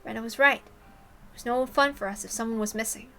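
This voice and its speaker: A woman's voice: fairly high pitch, brisk, creaky, bright, forceful tone, a little hiss or hum, poor audio. A woman reading a story aloud expressively, with casual commentary of her own. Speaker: reader and commentator.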